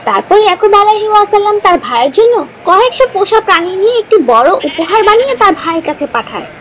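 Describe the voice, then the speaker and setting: A woman narrating a scripted children's story in a clear, instructive manner. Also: very high voice, sing-song, fast, fairly bright tone, a little hiss or hum, low-quality recording. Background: background music.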